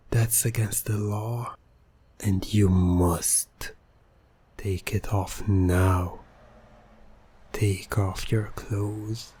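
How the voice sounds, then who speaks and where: Male ASMR hypnotist: low-pitched, unhurried to the extreme, seductive and controlling, hushed delivery edging into whispers. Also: breathy edge. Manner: slow, commanding, hushed, often slipping toward whispering. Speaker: roleplay performer. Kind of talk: ASMR hypnosis roleplay, scripted, intimate.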